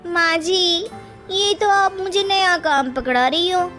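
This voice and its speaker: A high-pitched young daughter-in-law acted by a woman from an animated moral story. She is lazy, cheeky and money-minded, complaining in a whiny way at an unhurried pace with light music behind. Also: heavily acted. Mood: whiny complaint.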